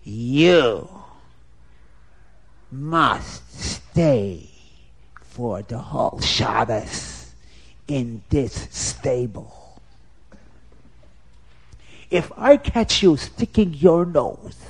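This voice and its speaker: A man voicing a gruff, short-tempered householder who blusters with indignation. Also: medium pitch, very animated, extremely slow, long pauses, voice pushed down, full character voice. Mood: menacing.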